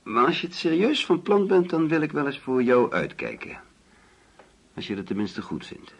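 An actor playing a genteel, anxious older husband in a radio play, talkative and patronising. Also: male voice, fairly high pitch, very animated, normal speed, creaky, husky, exaggerated voice. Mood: paternal, probing. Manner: fussy, insistent, patronising. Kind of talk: Dutch radio play acting.

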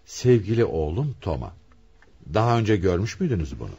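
An actor playing a shrewd, probing police commissioner in a radio play, questioning suspects in a deliberate, theatrical manner. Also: male voice, on the low side, very animated, warm tone, husky, muffled recording, heavily acted. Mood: probing, intrigued.

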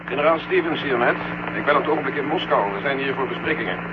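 An actor playing a businesslike mission control official over a radio link in a 1970 science-fiction radio drama, brisk and formal. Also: male voice, mid-range voice, muffled recording. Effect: radio link filter. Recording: thin, noisy radio transmission.

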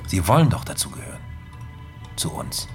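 An actor playing a smooth, controlling coach and psychologist in a German radio drama, speaking in measured, deliberate phrases with weighty pauses. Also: male voice, soft background music, close to an everyday voice. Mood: solemnly persuasive. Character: smooth, controlling male studio coach and psychologist, insinuating mentor.

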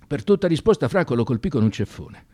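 A man narrating a radio drama as a scripted story, intense and emphatic, with deliberate dramatic pauses. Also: medium pitch, very animated, mellow, no room sound.

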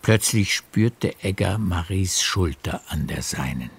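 A man narrating a literary radio drama with measured, deliberate phrasing. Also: low-pitched, expressive, vocal fry, a little hiss or hum.

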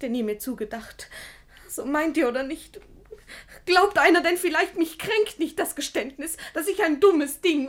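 Woman acting naive young girl, high voice, artless, candid, plaintive, radio drama verse. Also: close to an everyday voice. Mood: defiant. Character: naive young ward, artless yet stubbornly frank. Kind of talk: classic German radio play verse acting.

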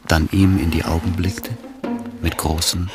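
A man's voice: low voice, little pitch movement, unhurried. A man delivering scripted literary narration as the narrator of a radio drama. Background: loud music.

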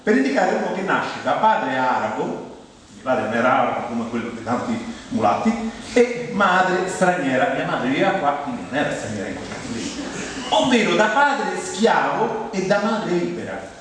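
A man's voice: on the high side, sing-song, normal speed, fairly bright tone. A man with a Roman accent performing a comic theatrical monologue in a wry, ironic, conversational way, with mock-solemn turns. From Rome, Italy. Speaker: stage monologuist. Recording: very echoey hall, distant mic, clear noise.

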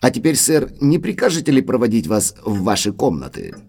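An actor playing a formal, polite manservant of an old manor in an audio drama. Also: male voice, mid-range voice, sing-song, fairly quick, gravelly, a little mellow, breathy edge, exaggerated voice. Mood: deferential, formal. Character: deferential, sorrowful manservant butler of an old manor.

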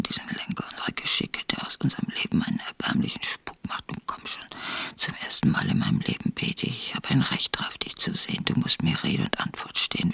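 A woman's voice: extremely low pitch, vocal fry, a bit bright, husky, no room sound, full character voice. An actress playing a lonely, grieving, bitterly defiant revolutionary woman in an intense, intimate literary radio play. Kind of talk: literary radio play, soliloquy and narrated stage directions.

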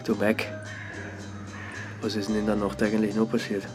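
An actor playing a wary, grumbling petty offender on community service in a radio drama, with a colloquial Austrian accent. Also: male voice, on the low side, monotone, moderate pace, music in the background, lightly acted. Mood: grumbling, curious. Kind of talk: naturalistic radio drama acting.

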